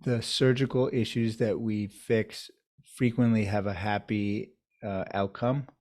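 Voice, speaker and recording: A man with a somewhat low voice answers interview questions as a doctor. He talks in a measured, reflective way, building his answers step by step. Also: fairly even intonation, darkish tone. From United States, New York area. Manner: measured, thoughtful storytelling. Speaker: urologist guest.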